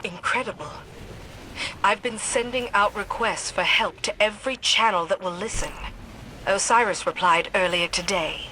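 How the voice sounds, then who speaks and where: An actress playing a resolute but weary military commander in a video game, brisk, serious and businesslike. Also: female voice, medium pitch, bright, forceful tone, slight background noise, lightly acted, low-quality recording. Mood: frustrated. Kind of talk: video game cutscene acting.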